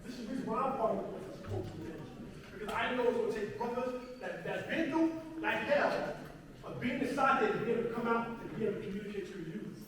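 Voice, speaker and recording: A man's voice: very high voice, lively intonation, fast talker. A man with a New York accent gives an earnest testimonial speech in an emphatic, repetitive, colloquial way. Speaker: speaker at a community assembly. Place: large indoor hall, picked up from afar. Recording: very echoey hall, distant mic, clear noise.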